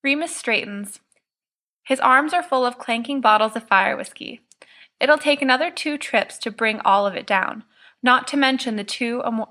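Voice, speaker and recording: Bright, higher-voiced woman narrating a story. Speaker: audiobook reader.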